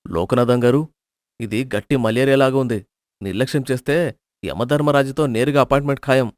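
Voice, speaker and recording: A man voicing a grave, dryly witty village doctor who speaks in a measured, sardonic way. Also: mid-range voice, darkish tone, no echo, clean studio sound, natural voice.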